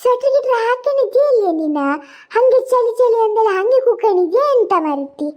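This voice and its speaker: A performer voicing a chatty, fussing village daughter in a cartoon comedy, with a Kundapura Kannada accent and exclamatory, drawn-out questions. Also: extremely high pitch, expressive, a little mellow. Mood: concerned, correcting. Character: chatty village daughter phoning her mother, fussing and scolding.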